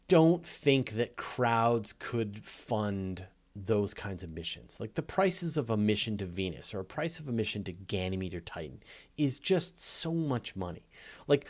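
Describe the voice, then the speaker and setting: Guy with a lowish voice doing live q&a, explains stuff off the cuff, punches his points. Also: very animated, unhurried. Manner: conversational, emphatic, builds points through repetition and everyday analogies. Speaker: host of a live astronomy Q&A.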